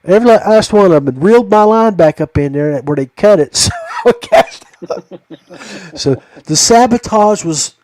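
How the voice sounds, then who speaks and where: A man with a somewhat high voice hosts a casual podcast chat. He spins rambling, folksy stories, and the sound is fairly dry and close. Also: animated, normal speed, darkish tone, no room sound, poor audio. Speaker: podcast host.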